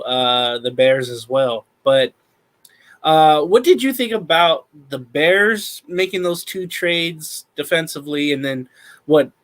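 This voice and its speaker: A man co-hosting a sports talk show, recapping news in a steady, methodical way with many fillers. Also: on the high side. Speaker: podcast co-host.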